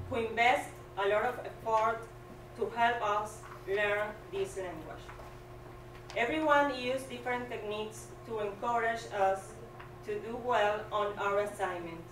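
A woman in her thirties with a Venezuelan Spanish accent, a native Spanish speaker reading her graduation speech slowly and carefully at a stand mic. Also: a little high, recorded from a distance, slight background noise. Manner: slow, careful, earnest reading. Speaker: graduating student. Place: small hall with curtain backdrop. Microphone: stand mic, filmed from a distance. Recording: echoey room, voice off-mic.

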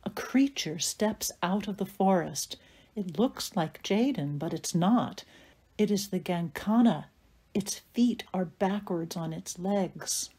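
A woman's voice: mid-range voice, animated, takes it slowly, dark tone, slightly rough. A woman giving scripted narration, reading out stage directions for an audio play.

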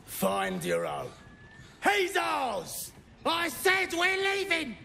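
A brash British goblin bully for a video game. The voice is extremely gravelly and very high for a male, with a hard, forceful edge as he barks. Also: sing-song, extremely slow, a little hiss or hum, heavily acted. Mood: belligerent. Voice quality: gravelly, strained. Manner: shouting, rough. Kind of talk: video game character acting.

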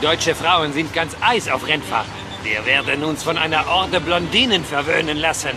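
An actor playing an excitable, boastful racing mechanic and loyal best friend in a German-dubbed video game, with a nagging manner. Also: male voice, fairly high pitch, normal speed, noisy background, lightly acted. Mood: eager.

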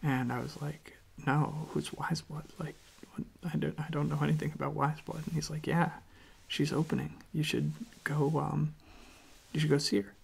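A soft-spoken ASMR creator chatting casually in a hushed, gentle, halting voice that often drops to a breathy half-whisper, close to the microphone. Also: mid-range voice, flat delivery. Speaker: ASMR video creator, host.

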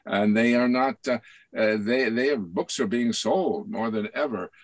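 An older man speaking informally at a virtual book launch, halting and rambling as he earnestly encourages people, on a video call from a small room. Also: mid-range voice, no echo. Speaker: playwright introducing an author. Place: small home office, indoors.

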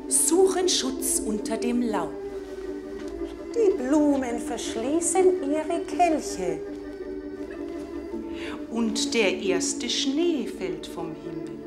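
A woman's voice: fairly high pitch, full character voice. A middle-aged woman playing an earnest village culture-evening host in a comedy sketch, formal and stilted with a Bavarian accent. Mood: puzzled, solemn. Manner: stilted, formal, halting, solemn. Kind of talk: TV comedy sketch, stage announcements. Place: village community hall, indoors. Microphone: handheld microphone. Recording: echoey hall with music behind.

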